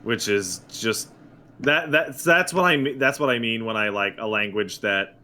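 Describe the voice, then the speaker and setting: A man co-hosting a podcast, chatting casually in a quick, self-deprecating, exasperated way. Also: lowish voice, bright, forceful tone. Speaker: podcast co-host. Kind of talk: casual chat.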